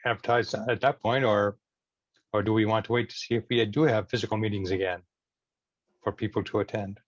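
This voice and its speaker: Low-voiced, hesitant man chairing a meeting. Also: steady tone, brisk, no echo. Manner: hesitant, searching for words. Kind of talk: informal meeting moderation. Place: online video meeting. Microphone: video call. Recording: dull, dry video-call audio.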